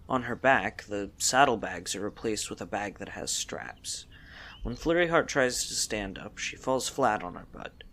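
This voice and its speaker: A man's voice: on the low side, a bit bright. A man narrating a scripted audio story.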